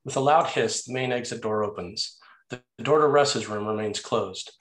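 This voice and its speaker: Guy narrating script directions at a table read, mid pitched voice. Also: moderate pace. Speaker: narrator reading stage directions.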